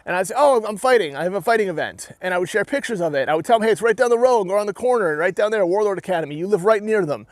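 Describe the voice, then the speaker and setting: High-voiced New Hampshire man, dry sound. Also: lively intonation, rapid-fire, few pauses, dry recording. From New England. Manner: rambling and earnest, with frequent restarts and "right?" tags. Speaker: armored combat fighter and YouTuber. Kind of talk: casual talking-to-camera rant.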